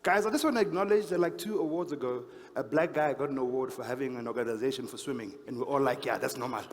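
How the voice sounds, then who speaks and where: A man's voice: medium pitch, fast talker. A man with a lisp hosting an awards ceremony in a playful, teasing, informal style. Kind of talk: informal, joking stage hosting. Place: large event hall, on stage. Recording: echoey hall, noticeable background noise.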